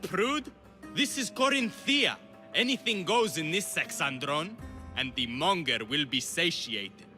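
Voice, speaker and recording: A man's voice: fairly high pitch, expressive, unhurried, sharp tone, soft background music, full character voice. A man voicing a crude, menacing henchman who sneers and taunts in a video game. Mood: sneering, gloating. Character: menacing, sneering male thug, crude henchman of a crime boss.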